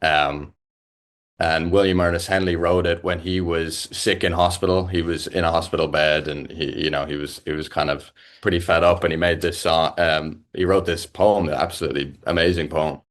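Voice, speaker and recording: Young irish man, low voice, halting speech, many fillers. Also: fairly even intonation. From Ireland. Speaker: musician guest. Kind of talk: casual interview chat.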